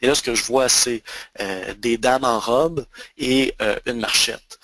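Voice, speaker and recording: A man in his thirties with a Quebec French accent, giving a webinar on email marketing and often pausing on fillers and restarts. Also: medium pitch, a bit bright, no echo. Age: 30s. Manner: hesitant, many fillers and restarts. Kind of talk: webinar presentation.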